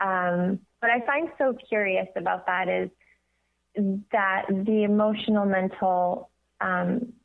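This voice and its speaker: Casting: woman calling in by narrow phone line, earnest voice coach explaining conversationally, mid-range pitch. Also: slow, vocal fry. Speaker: guest, voice coach. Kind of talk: conversational radio interview.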